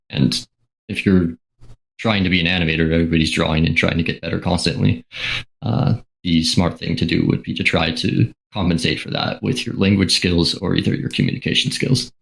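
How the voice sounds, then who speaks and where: A man with a very low voice gives advice in a measured, explanatory way. A gravelly fry runs through his speech. He talks casually, dropping in the odd "uh". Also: a bit bright, rough recording. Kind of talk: casual panel chat.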